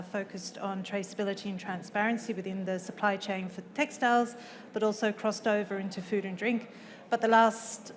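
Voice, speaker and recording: An Australian woman introducing herself on a conference panel, speaking haltingly and correcting herself. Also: medium pitch. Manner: halting, self-correcting speech. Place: conference hall. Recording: long hall reverb.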